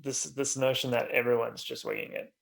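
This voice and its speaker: A man hosting a podcast in a casual interview style, thinking aloud informally in halting, restarted phrases. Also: mid-range voice, gravelly. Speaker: podcast host.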